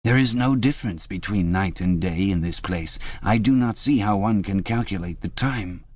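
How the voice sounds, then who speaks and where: A man's voice: low-pitched, normal speed, a little mellow, no echo. A man voicing a large, clumsy, bewildered prisoner who speaks in a vague, wondering way. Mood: puzzled.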